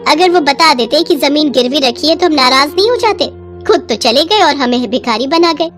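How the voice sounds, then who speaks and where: A woman's voice: high-pitched, lively intonation, moderate pace, a bit bright, soft background music. A woman voicing a greedy, scheming sister-in-law in a moral story, pushy, scornful and complaining. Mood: complaining, scolding. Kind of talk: Hindi moral story character acting.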